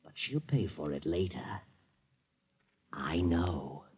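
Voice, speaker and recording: A low, sinister radio mystery narrator. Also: male voice, animated, slow pace, slightly rough, exaggerated voice. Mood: taunting, gloating. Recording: dull old 1940s radio, music behind.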